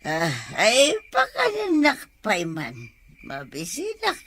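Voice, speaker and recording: A woman playing a frail, tearful old grandmother in a radio drama, with a drawn-out, quavering way of speaking. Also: mid-range voice, huge pitch swings, a bit bright, a little hiss or hum, full character voice. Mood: fussing. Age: older.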